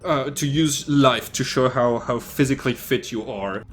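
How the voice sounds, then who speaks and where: A male game master narrates a tabletop session in a loose, relaxed way. He fills his lines with asides and fillers. His voice sits at a middle pitch. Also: normal speed, soft background music.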